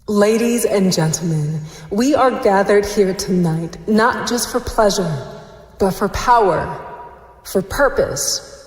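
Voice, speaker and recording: A woman's voice: mid-range voice, slow, close to an everyday voice. Actress as stately spokeswoman, echoing hall. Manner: formal, stately, ceremonial. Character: poised female spokeswoman for a secret elite society. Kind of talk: ceremonial announcement in audio drama. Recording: echoey hall public-address reverb.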